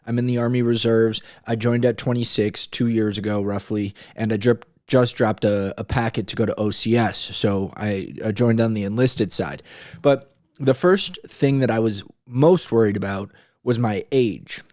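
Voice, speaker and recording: A man's voice: on the low side, normal speed, darkish tone, no echo, clean studio sound. A man in his late twenties talking casually to camera about his experiences, rambling a bit with fillers and restarts. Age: 20s. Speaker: vlogger, army reservist.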